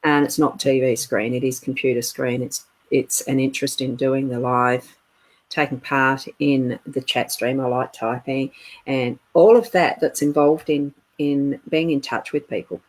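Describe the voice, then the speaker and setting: Low-voiced woman, rambling chat. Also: fairly even intonation, low-quality recording. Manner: meandering, halting, laughs often. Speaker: co-host of a live spiritual chat stream. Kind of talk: casual live-stream chat.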